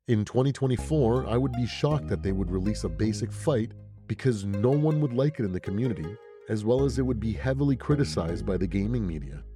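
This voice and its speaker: Male gaming creator, low mellow voice, relaxed and playful speculation read, faint music underneath. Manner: rhetorical questions and playful asides. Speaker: gaming video creator and host. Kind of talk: casual scripted game speculation commentary. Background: background music.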